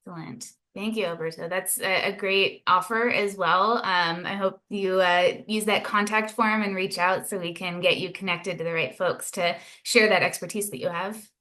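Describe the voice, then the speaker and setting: A woman presents a webinar on a video call, answering questions in a friendly, measured way with frequent ums. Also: lowish voice, steady tone, bright, forceful tone.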